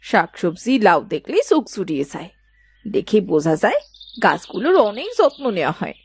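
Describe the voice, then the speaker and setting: A woman's voice: lowish voice, wildly swooping pitch, a little fast, gravelly, breathy edge, exaggerated voice. A woman playing a chatty, inquisitive village aunt in a cartoon, talking in a familiar, gossipy way. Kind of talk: dramatised cartoon dialogue.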